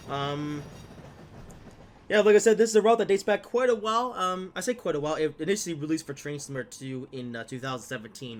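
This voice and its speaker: A man's voice: medium pitch, a little hiss or hum. Rambling young man narrating gameplay. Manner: rambling, many fillers and trailing asides. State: slightly stuffed up from a cold. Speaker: gaming video host. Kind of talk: casual gameplay commentary.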